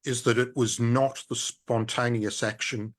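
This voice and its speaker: A man hosting an interview, asking measured questions and checking points back with his guest. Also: mid-range voice, flat delivery. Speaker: radio host, interviewer.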